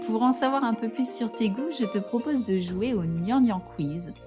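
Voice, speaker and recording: A woman's voice: on the high side, normal speed, music in the background. A woman hosting a book podcast, asking prepared interview questions and reading a book summary. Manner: steady, reads prepared questions. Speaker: podcast host.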